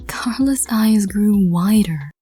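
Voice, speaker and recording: Soft whispery girl narrator, breathy n mellow, slow pace, lil music in the back. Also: medium pitch, lively intonation. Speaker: narrator and channel host. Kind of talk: soft scripted roleplay narration.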